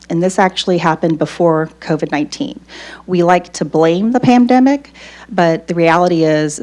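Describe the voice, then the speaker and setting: Hoarse woman giving a lecture. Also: fairly low pitch, a little mellow. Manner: conversational, checks in with the audience. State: hoarse, recovering from losing her voice. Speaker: lecturer, psychology professor. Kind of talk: academic lecture.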